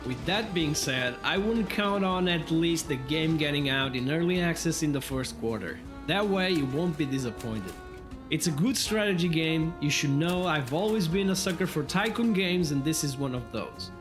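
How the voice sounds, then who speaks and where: A man's voice: fairly high pitch, animated, moderate pace. A man reviewing a video game in a scripted, comedic narration with a wry, tongue-in-cheek manner. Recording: music behind the voice. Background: background music.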